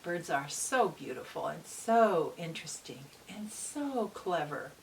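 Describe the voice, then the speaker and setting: A woman reads to young children at an unhurried, slow pace, her pitch swinging widely for expression. She sounds like a gentle teacher, pausing to ask her listeners questions. The recording is picked up from a distance, with a faint hiss. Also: animated, gravelly. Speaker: teacher reading a picture book aloud to children.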